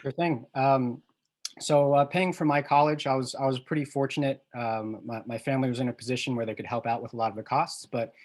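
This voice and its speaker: A man's voice: mid-range voice, fairly even intonation, fairly quick. A man in his thirties from New York speaking as a panelist on a video call, easygoing and using hesitant fillers.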